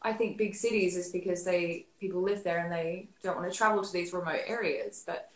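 A woman's voice: fairly low pitch, a little fast. A woman in her thirties from Australia, talking casually as a beer expert guest on a video call from home, full of fillers and restarts. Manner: chatty, many fillers and restarts. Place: living room at home. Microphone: laptop webcam on video call. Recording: roomy webcam audio.

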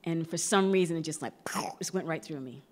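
Low-pitched, expressive middle-aged woman. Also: fairly quick. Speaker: actress on a panel. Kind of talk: unscripted panel discussion. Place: large theatre stage before an audience. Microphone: microphone on a stand, close.

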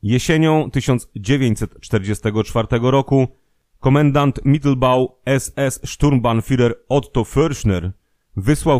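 A male narrator with a medium-pitched voice reads from a documentary script. He keeps a formal, measured delivery, recorded dry with no audible room. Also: darkish tone, no echo.

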